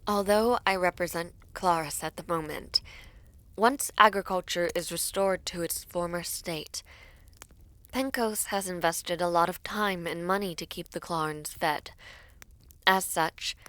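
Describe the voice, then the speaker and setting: A woman playing a composed young princess in a fantasy audio roleplay, speaking in formal, deliberate, stately phrases. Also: lowish voice, a bit bright, no echo. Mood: diplomatic, probing. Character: composed young princess negotiating a peace treaty, regal and measured.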